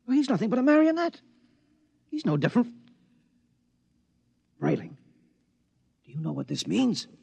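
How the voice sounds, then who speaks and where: An actor playing a take-charge suburban husband in a 1950s radio drama, emphatic, wry and sentimental. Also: male voice, sits high, sing-song, normal speed, gravelly, long pauses, a little mellow, husky, heavily acted. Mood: amazed, brisk. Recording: dull dry vintage radio recording.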